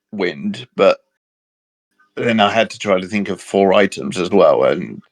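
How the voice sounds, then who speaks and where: Low-voiced British man musing casually. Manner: rambling, hesitant, laughs often. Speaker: podcast co-host. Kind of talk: casual chat.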